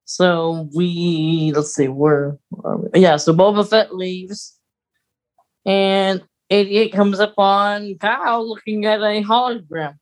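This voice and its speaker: A woman's voice: medium pitch, flat delivery, slow. A woman co-hosting a podcast, talking casually as part of a review conversation. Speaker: podcast co-host. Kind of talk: casual review chat about a radio drama.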